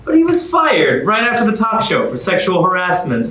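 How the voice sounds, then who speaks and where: A man's voice: sits high, huge pitch swings, moderate pace, crowd noise. A man playing an upbeat, sassy narrator in a staged musical comedy reading, talking and singing. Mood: teasing, brisk. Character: Oliver, upbeat sassy man, contest survivor turned narrator. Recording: distant echoey stage recording with music.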